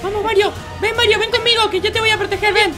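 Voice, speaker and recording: An excitable gamer with a very high, childlike, cartoonish voice, chatting during Minecraft gameplay and often repeating words rapidly. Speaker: video game player and channel host. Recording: music behind the voice.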